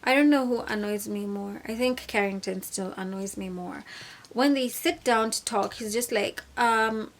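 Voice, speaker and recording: A young woman from Kenya reviewing a reality show in a casual vlog, sassy and animated, acting out the people she quotes. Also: medium pitch, moderate pace, slight background noise.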